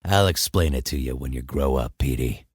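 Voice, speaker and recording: A man playing a gruff, sardonic loner hero in an audio drama, with a gravelly voice and terse delivery. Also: very deep voice, normal speed, no echo, clean studio sound. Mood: teasing. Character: gruff cigar-smoking male loner hero with sardonic swagger.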